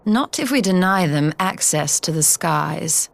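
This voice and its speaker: Female scholar, lowish pitch, composed, analytical, precise formal wording, fantasy game cutscene. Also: animated, unhurried, gravelly. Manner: precise, formal, matter-of-fact. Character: cool, scholarly woman sage, composed and analytical. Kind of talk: scripted fantasy game acting.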